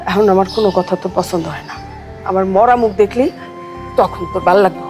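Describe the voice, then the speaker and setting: A woman's voice: medium pitch, quick pace, over music, close to an everyday voice. An actress playing a pious, nagging, aggrieved older mother with a colloquial Bangladeshi Bengali accent in a television drama. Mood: aggrieved, scolding. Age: middle-aged or older. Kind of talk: domestic TV drama acting.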